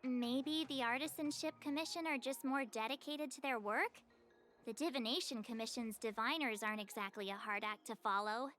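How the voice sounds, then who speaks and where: A woman's voice: on the high side, moderate pace, a bit bright, faint music behind, close to an everyday voice. An actress playing an upbeat young woman with a teasing manner. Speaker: game character.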